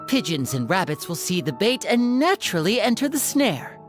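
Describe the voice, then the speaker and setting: A courteous young stage magician in a video game, voiced by a woman. The delivery is suave and playful, mid-pitched with lively swings. Soft music plays underneath. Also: moderate pace, natural voice. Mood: confident. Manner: polite, suave.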